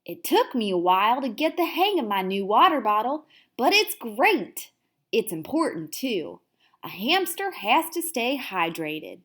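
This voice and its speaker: A woman acts out an excitable, cocky male hamster narrating his storybook diary. The voice sits fairly high and moves expressively up and down. The delivery is unhurried and theatrical, savouring every self-satisfied remark. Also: exaggerated voice. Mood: pleased. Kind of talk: first-person children's storybook read-aloud.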